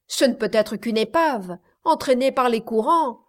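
A woman's voice: fairly high pitch, expressive, measured pace. A woman voicing a male ship captain in a storybook reading, speaking in firm, declarative sentences and giving orders. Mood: observing.

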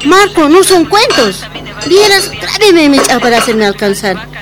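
Harried market-vendor mother, Bolivian accent, very high voice, argumentative, radio drama, clear music bed. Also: big pitch swings, moderate pace, a crowd in the background. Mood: exasperated. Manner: pleading, complaining, argumentative. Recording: music behind the voice.

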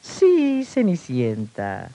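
A woman playing a cold, sly middle-aged stepmother, measured and condescending, on an old children's story record. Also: extremely low pitch, huge pitch swings, mellow, no room sound, full character voice, rough recording. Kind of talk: 1950s children's record acting.

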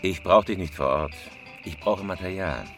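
An actor playing a cool-headed, laconic career robber in a German crime radio drama. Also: male voice, sits low, moderate pace. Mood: businesslike, terse. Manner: laconic, matter-of-fact. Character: terse, cool-headed male career robber planning a heist. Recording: music behind the voice. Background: music.

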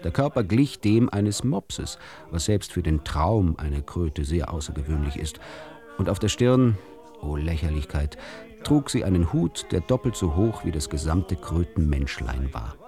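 A man narrating short literary stories for a radio drama in a dry, deliberate storytelling manner. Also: deep voice, big pitch swings, warm tone, faint music behind.

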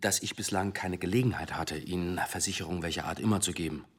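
An actor playing a measured, deferential but determined senior police commissioner in a German radio drama, husky-voiced and formally courteous. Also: male voice, low voice, steady tone. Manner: formal, courteous, careful phrasing.

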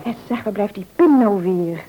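A woman's voice: medium pitch, lively intonation, normal speed, mellow, a little hiss or hum, close to an everyday voice, low-quality recording. An actress playing a caring, chatty middle-aged mother in a radio serial, exclamatory and fussing. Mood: fussing, apologetic. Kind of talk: Dutch radio serial acting.

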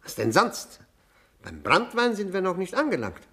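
An actor playing a bitter cuckolded widower in a 1960s German radio drama, fawning and self-abasing, then needling with mock courtesy. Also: male voice, high-pitched, huge pitch swings, bright, forceful tone, slight background noise, exaggerated voice. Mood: wheedling. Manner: mock-courteous, insinuating, with drawn-out words and needling questions.

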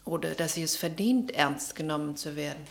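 An actress in a German radio play as a brisk, knowing, rather bossy confidante who sounds sure of herself. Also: female voice, low-pitched, vocal fry. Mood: knowing, assured.

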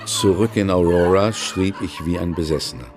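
A man playing an older, haunted novelist who confides in a measured way in a German radio drama. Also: low voice, over music, close to an everyday voice. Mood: wistful, nostalgic. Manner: measured, confessional storytelling.

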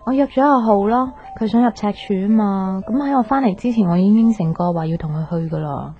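A woman's voice: medium pitch, brisk, close to an everyday voice. An actress with a Hong Kong Cantonese accent playing a young student girlfriend back from abroad, conversational, in a radio drama. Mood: playful. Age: young adult. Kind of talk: naturalistic radio drama narration and dialogue. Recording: slightly dull radio recording, faint guitar music.

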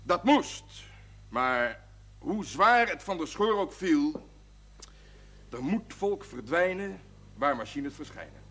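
A man's voice: a little high, sing-song, takes it slowly, pauses often, a bit bright, full character voice. An actor playing a proud, jovial brewery man giving a declamatory, folksy speech to the workforce in a television drama. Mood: earnest, announcing. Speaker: actor in a Dutch television series. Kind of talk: celebratory speech to assembled brewery staff.

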